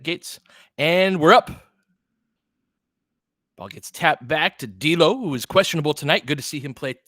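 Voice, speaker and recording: A man's voice: medium pitch, a bit bright, dry recording, studio quality. A man hosting a basketball stream, giving casual play-by-play mixed with chat in a conversational, slangy way with clipped phrases.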